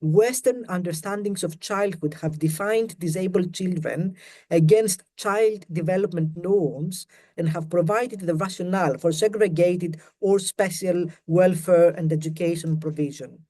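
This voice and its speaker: Greek-accented man giving a measured lecture. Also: high voice, mellow. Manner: careful, measured reading of prepared text with quotes. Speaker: academic panellist. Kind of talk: academic webinar presentation.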